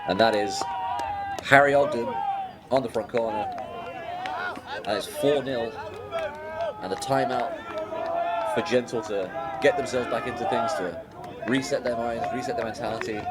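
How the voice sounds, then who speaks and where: A man giving live play-by-play commentary on a match, steady and informative as he reads each move. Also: medium pitch, moderate pace, long pauses, very noisy. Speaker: sports commentator. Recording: loud crowd behind commentary.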